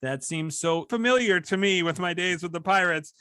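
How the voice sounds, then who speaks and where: A man's voice: high voice, normal speed, bright, forceful tone, clear, resonant tone, no echo, clean studio sound. A man hosting a podcast interview in a conversational way. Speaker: podcast host and hockey broadcaster.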